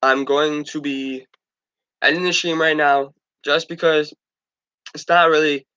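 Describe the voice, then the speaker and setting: Young man, stuffed-up nose, sports stream host, rambling restarts, bright tone, muffled lo-fi sound. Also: a little high, fairly even intonation, a little slow, clear, resonant tone. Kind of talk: casual solo sports talk stream.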